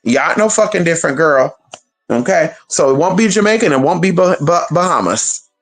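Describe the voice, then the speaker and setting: A woman's voice: fairly low pitch, normal speed, soft background music. A woman chatting casually on a livestream, sassy and profane, calling her viewers honey and girl. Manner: animated, talks straight to viewers.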